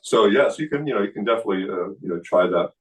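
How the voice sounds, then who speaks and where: A man's voice: lowish voice, fast, far from the mic, low-quality recording. Older man talking with frequent fillers. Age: 60s. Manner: relaxed explaining with many fillers. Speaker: kung fu teacher. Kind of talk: seminar talk. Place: indoor studio room.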